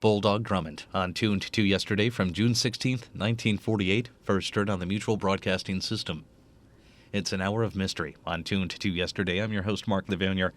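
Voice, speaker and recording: Man presenting old radio shows, deep voice, measured informative delivery. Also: steady tone. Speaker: radio host. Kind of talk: radio program introduction.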